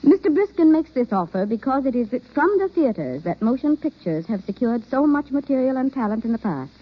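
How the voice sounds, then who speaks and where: A woman delivers a formal vintage radio announcement. Her voice is fairly high and deliberate, with scripted phrasing. The recording is dull and old. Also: big pitch swings. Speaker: guest announcer.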